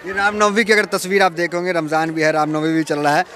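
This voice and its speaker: A man's voice: on the high side, level tone, fast, a bit bright. A man working as a street reporter, asking prodding, conversational questions in an informal interview on location. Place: outdoors in a public place. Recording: outdoors with faint crowd behind.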